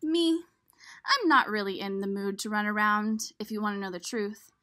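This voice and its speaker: A woman's voice: mid-range voice, moderate pace, a bit bright, lightly acted. Woman reading as a chatty, fretful storybook egg. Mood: chatty, easygoing. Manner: chatty, addressing the listener. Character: worry-prone, solitary male egg homebody from a picture book. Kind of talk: expressive storybook read-aloud.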